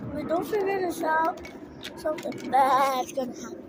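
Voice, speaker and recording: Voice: sits high, moderate pace, very noisy. A child hosting a casual amateur video, talking to camera and companion in a bossy, repetitive way.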